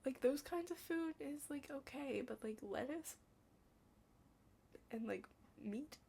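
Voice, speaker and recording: A young adult woman doing an ASMR roleplay as a study partner, chatting casually in a hushed, halting, rambling way with lots of "like" and some whispering. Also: high-pitched, expressive, vocal fry. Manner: rambling, halting, heavy use of "like".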